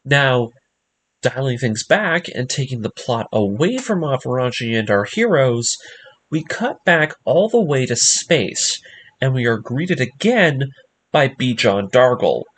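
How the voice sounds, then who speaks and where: A man narrating a scripted story breakdown as an enthusiastic fan host, with expressive, emphatic delivery. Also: mid-range voice. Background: background music.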